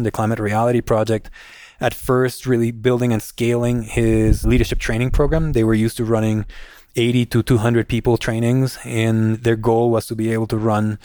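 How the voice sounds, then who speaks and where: A middle-aged man from Guatemala, a guest in an interview, telling his career story in a measured, steady way. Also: mid-range voice, no echo. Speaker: guest, nonprofit executive director. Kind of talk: conversational interview answer.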